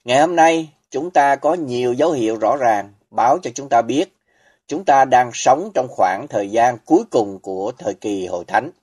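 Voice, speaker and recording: A man preaching a scripted sermon as a voice-over, reading in a solemn, measured way with emphatic pauses. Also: medium pitch, animated, a little mellow, husky.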